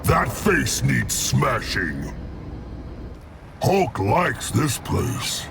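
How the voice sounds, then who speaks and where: An actor playing a huge, blunt, simple-minded monster in a video game, growling in a gravelly voice. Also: male voice, medium pitch, big pitch swings, exaggerated voice. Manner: slow, blunt, speaks in third person. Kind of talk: video game character voice lines. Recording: noisy game ambience behind.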